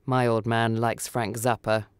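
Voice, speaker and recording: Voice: mid-range voice, little pitch movement, measured pace, clear, resonant tone, no echo, close to an everyday voice. A narrator voicing a menacing, intense man in a radio short story, speaking tersely. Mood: quietly menacing.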